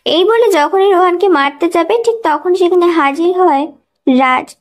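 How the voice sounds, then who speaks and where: High-pitched woman narrating a story. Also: moderate pace. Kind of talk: scripted narration of a romantic thriller story.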